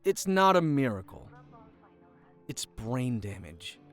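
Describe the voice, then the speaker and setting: A woman's voice: rumbling low, very animated, slow, soft background music, heavily acted. A woman playing a worried, sceptical comrade in an audio drama, blunt and full of concern.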